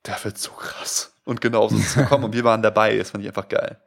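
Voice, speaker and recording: Chatty young man, lower-pitched, from Munich. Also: steady tone, quick pace, vocal fry. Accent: light Bavarian German. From Munich, Bavaria. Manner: enthusiastic, chatty, frequent restarts and fillers. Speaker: podcast co-host. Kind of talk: casual football fan podcast.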